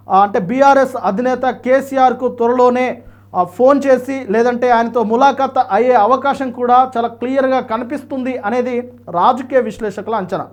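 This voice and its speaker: A man giving political news commentary as a presenter, explaining points emphatically and repetitively. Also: very high-pitched.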